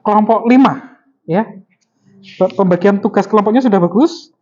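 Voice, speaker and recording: A man's voice: high-pitched, moderate pace, a little mellow. Male teacher, muffled recording. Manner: prompting with short questions and repeating answers. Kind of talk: classroom instruction, questioning students.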